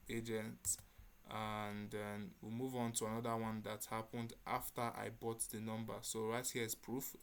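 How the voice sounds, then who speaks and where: Man, developer presenter, product demo delivery, medium pitch, little melodic variation. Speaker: developer presenting a hackathon project.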